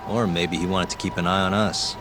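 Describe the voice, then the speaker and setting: Man acting a deep-voiced, cool detective. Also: fairly even intonation, brisk, over music, close to an everyday voice. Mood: pensive. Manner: understated, dry, casual. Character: cool, wry young male detective. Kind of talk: naturalistic video game dialogue. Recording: clear city street noise behind.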